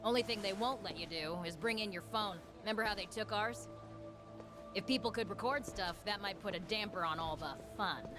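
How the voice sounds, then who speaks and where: Actress doing chatty streetwise young game woman, breezy slangy, bit low voiced, music behind. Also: a little fast, lightly acted. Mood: matter-of-fact, explaining. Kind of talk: English video game voice acting.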